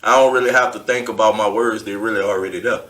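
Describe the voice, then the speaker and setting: A man's voice: lowish voice, steady tone, fast talker, fairly bright tone. A man co-hosting a casual podcast chat, easygoing in manner. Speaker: podcast co-host.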